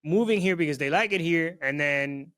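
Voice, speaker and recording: A young man hosts a casual podcast, his voice sitting a little higher than most men's. He chats loosely and easily, filling his talk with "bro" and "you know what I mean." He comes from South Florida. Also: moderate pace, a bit bright. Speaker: podcast host. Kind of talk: casual chat.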